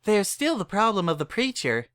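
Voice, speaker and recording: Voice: medium pitch, sing-song, moderate pace, fairly bright tone, smooth, no room sound, voice pushed up. A narrator voicing a practical, fretful female farm pony, plain-spoken and skeptical in manner. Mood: doubtful.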